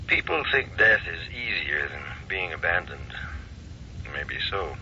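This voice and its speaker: An American man playing a calm, philosophical doctor, measured and reflective, in an old-time radio drama. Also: deep voice, exaggerated voice. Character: calm, philosophical doctor roused by late call. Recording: dull, noisy old radio recording.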